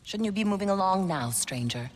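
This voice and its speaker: An actress playing a hostile, suspicious rebel guard in a video game, speaking curtly. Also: female voice, medium pitch, animated, fairly quick, big-room sound, close to an everyday voice. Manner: curt, suspicious. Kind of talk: cinematic video game acting.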